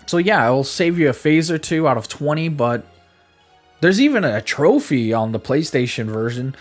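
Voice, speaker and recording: A man's voice: on the high side, sing-song, moderate pace. A man giving a casual, opinionated game review in a conversational way, repeating words for emphasis. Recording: background music under voice. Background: music.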